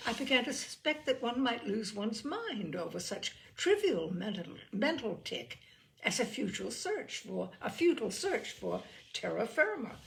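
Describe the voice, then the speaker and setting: A woman narrates a humorous short story with theatrical emphasis. Her pitch moves in a lively way across each phrase, and her voice has a creaky, gravelly edge. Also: normal speed, rough recording.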